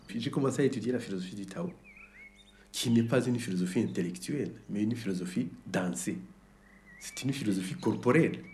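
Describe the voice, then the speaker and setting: A middle-aged man with a Rwandan accent, recounting his own life reflectively in short, deliberate phrases. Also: medium pitch, a little mellow, a little hiss or hum. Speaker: interviewee, survivor giving testimony. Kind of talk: reflective personal testimony.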